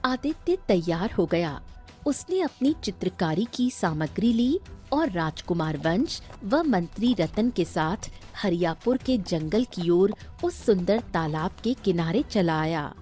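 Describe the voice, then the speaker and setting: A woman's voice: on the low side, very animated, slow pace, mellow, faint music behind. A woman narrator reading a scripted children's story in a storybook style.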